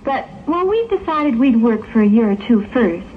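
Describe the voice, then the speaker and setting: A woman's voice: a little high, very animated, normal speed, phone-quality sound. A woman playing an agreeable, chirpy young graduate in a 1960s audio drama. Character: agreeable, security-minded young female graduate. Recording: thin old tape with hiss.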